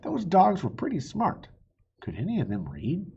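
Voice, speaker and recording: A man reading a children's story aloud in a playful, expressive way, with a husky voice. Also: high voice, normal speed, muffled recording. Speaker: storybook reader.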